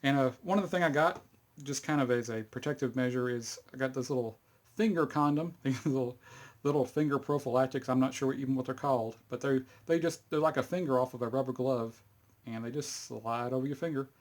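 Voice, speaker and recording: A man's voice: medium pitch, a little fast. Folksy Texan man demonstrating a gadget. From Texas. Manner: rambling, folksy, chuckles often, many fillers. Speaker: YouTube hobbyist demonstrating fidget spinner cleaning. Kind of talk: casual how-to demonstration.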